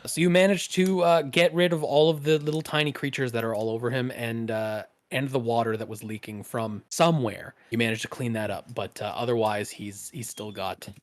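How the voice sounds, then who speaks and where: Halting male game master. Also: medium pitch, fairly even intonation, dry recording. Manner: halting, filler-heavy narration. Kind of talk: narrating a tabletop game.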